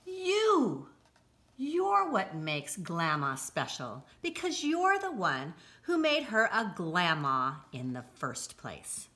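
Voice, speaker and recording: A middle-aged woman reading a children's picture book aloud, deliberately and with expressive emphasis, recorded indoors a short distance from the camera. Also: lowish voice. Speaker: school read-aloud presenter. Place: indoors, home-like room with armchairs.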